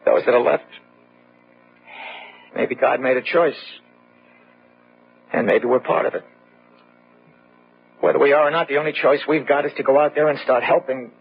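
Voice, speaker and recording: An actor playing a steady, protective survivor of a plague, halting yet earnest and reassuring in a gothic radio drama. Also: male voice, mid-range voice, creaky, husky. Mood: weary hope. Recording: muffled, noisy old radio drama.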